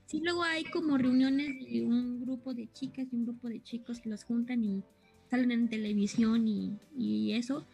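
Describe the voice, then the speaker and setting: A woman's voice: a little high, steady tone, normal speed, creaky, a little mellow, slightly rough, faint music behind, poor audio. A young woman chatting casually, explaining things and often searching for the right words. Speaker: co-host explaining anime terms. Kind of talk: casual chat.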